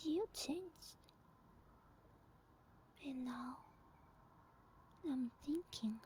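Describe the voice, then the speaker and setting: A woman playing an obsessed, teasing and menacing sleep paralysis demon in a whispered ASMR roleplay, speaking deliberately and intimately with drawn-out pauses. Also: high-pitched, darkish tone, breathy edge, full character voice. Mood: possessive, unsettled.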